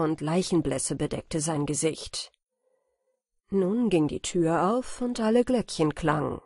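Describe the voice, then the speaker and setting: A woman reading a fairy-tale audiobook as narrator, telling the story in a deliberate, dramatic way. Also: on the low side, a little mellow, dry recording, studio quality.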